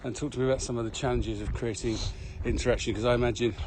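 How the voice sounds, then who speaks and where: A man's voice: mid-range voice, fairly even intonation, very fast, a little mellow. A man hosting a podcast outdoors by a road, asking questions in an easygoing, prompting way. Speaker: podcast host. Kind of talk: casual interview questions. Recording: noisy outdoor recording with traffic. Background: street noise and passing cars.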